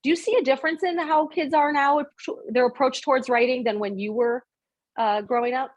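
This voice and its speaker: A woman's voice: high-pitched, steady tone, brisk, highs cut off. A middle-aged American woman hosting an interview in a casual, chatty style. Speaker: interview host.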